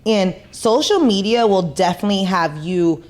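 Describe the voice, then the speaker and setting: A thirty-year-old woman from Florida, hosting a podcast in a loud, outspoken way and swearing freely. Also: medium pitch, normal speed. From Fort Myers, Florida. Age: 30s. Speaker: podcast host. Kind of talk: casual chat.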